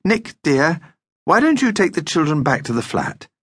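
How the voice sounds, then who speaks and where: A storybook mum, voiced by a man, in a raised, lively voice. She is patient and practical, coaxing but briskly firm. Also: on the high side, breathy edge, no echo, clean studio sound, voice pushed up.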